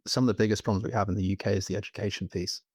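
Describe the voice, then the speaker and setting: Man, low monotone, matter-of-fact explainer, dry mic. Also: rapid-fire, breathy edge, dry recording. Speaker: guest, medical device innovator.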